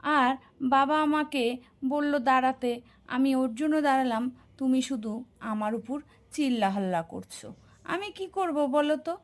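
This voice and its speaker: A woman's voice: high-pitched, normal speed, long pauses, natural voice. A woman voicing a talkative, easily exasperated young son in a family cartoon, speaking insistently. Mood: aggrieved protest. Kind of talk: cartoon voice acting.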